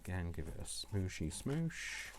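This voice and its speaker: A man's voice: deep voice, big pitch swings, unhurried, noisy background. A British man hosting a casual craft tutorial, chatting in a rambling, jokey way as if to himself. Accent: British English.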